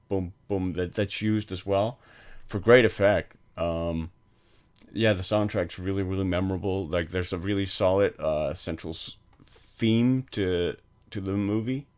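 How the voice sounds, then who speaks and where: Low-voiced man chatting about films. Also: a little mellow. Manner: rambling, lots of "like" and "um" fillers. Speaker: podcast co-host. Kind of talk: casual film discussion.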